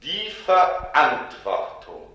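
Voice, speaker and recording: An actor playing an urgent, coaxing companion in a 1940s radio drama, emphatic and summoning. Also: male voice, a little high, sing-song, extremely slow, sharp tone, exaggerated voice. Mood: urging. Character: urgent, coaxing, insistent male companion urging life onward. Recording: very echoey 1940s radio recording.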